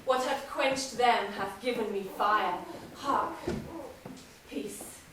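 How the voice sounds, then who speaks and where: A ruthless, scheming noblewoman played by a woman commands in intense Shakespearean verse, her voice pitched high. She is heard from far across a cavernous, echoing hall, with faint noise underneath. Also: slow pace. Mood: hushed, tense. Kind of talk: stage play performance. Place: theatre stage in a large hall. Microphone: distant room mic.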